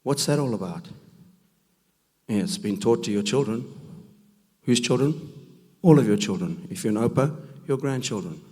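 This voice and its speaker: A man with a rough, gravelly voice from a cold, giving a preaching talk at a men's conference, emphatic and full of rhetorical questions. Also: medium pitch, lively intonation, moderate pace, pauses often, warm tone. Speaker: conference speaker. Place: church hall. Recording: long reverb of a large hall.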